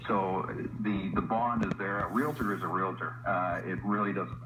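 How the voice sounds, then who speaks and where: A man answering questions in a radio interview, speaking in a halting, hesitant way. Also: deep voice, little pitch movement. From Canada. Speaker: interview guest, realtor association representative. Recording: noisy, echoey radio playing in a room.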